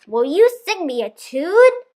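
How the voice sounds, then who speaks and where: A woman voicing a sly male fox for a children's storybook, with sugary, theatrical flattery. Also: very high-pitched, unhurried, heavily acted. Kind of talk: children's storybook read-aloud.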